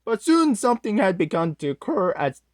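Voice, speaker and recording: An awkward, easily flustered storyteller character acted out by a performer reads a scary tale from a script in a halting way. The pitch moves around a lot, and the sound is close and dry. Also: big pitch swings, dry recording, natural voice. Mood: narrating. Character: Strong-Arm, awkward, scatterbrained storyteller host character. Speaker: storyteller host. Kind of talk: reading a scripted creepypasta story aloud.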